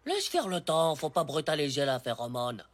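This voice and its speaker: A woman's voice: deep voice, sing-song, moderate pace. A woman voicing a naive, boastful ten-year-old schoolboy in a French cartoon, slangy and easily flustered. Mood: cocky.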